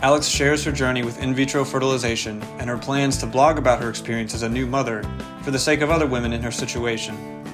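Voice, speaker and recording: Guy host reading the show intro, steady and even, guitar music under it. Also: mid-range voice. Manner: measured, read-out delivery. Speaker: podcast host.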